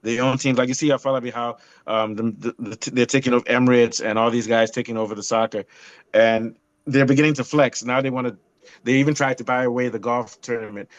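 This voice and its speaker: A man co-hosting a motorsport podcast, talking bluntly in an animated rant full of restarts. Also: medium pitch, brisk, dry recording, highs cut off. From not European. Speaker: podcast co-host. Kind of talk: casual opinionated chat.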